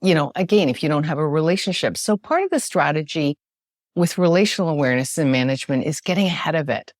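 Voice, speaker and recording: A woman with a naturally loud voice, explaining communication ideas as a guest in an emphatic, animated way, often checking in with "right?". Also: low-pitched, fast, no echo, studio quality. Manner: emphatic, animated, frequent tag question "right?". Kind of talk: podcast interview, explaining with examples.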